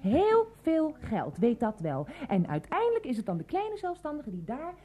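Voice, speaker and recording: A woman's voice: high voice, wildly swooping pitch, moderate pace, crowd noise. An actress playing a pedantic, insistent greengrocer who lectures her customers in wordy, formal speech in a comic radio play. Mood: stern, preachy.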